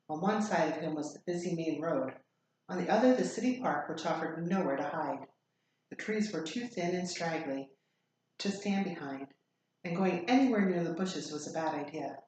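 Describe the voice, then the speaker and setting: A woman reading a children's story aloud as a teacher would, in an expressive, deliberate storytelling style. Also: lowish voice. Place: echoey room. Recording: very echoey room, faint noise.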